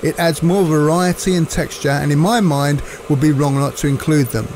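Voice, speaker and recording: A man, narrating cooking instructions in a measured, explanatory way. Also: a little high, a little mellow, slight background noise. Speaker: cooking presenter.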